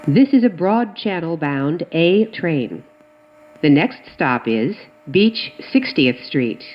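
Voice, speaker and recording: A woman reading scripted automated subway announcements as the train announcer, in measured, clearly segmented phrases. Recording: muffled, noisy recording inside subway train. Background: train rumble and ambience.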